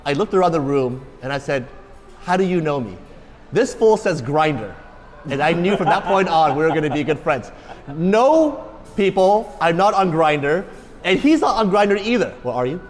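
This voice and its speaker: A man's voice: fairly high pitch, very animated, normal speed, long reverb tail. A man, a food vlogger chatting casually and jokingly in a restaurant, rambling and repeating himself. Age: 40s. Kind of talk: casual chat. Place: busy restaurant.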